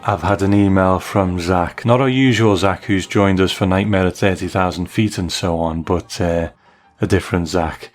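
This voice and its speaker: A man hosting a podcast, reading listener emails aloud in a measured way. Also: low-pitched. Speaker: podcast host.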